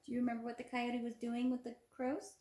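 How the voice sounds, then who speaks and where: A woman's voice: a little high, completely flat delivery, fast, warm tone. Young female teacher for a children's read-aloud, encouraging delivery, echoey room sound. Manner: encouraging, prompting with simple questions. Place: small indoor room at home. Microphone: phone at arm's length. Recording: roomy, echoey phone recording.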